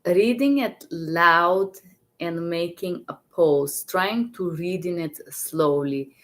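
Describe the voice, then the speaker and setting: Bulgarian-accented lady teaching interpreters, low voice, talks slow, restarts a lot. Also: fairly bright tone. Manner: halting, repeats words, restarts often. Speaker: medical interpreter trainer. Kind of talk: casual live-stream teaching.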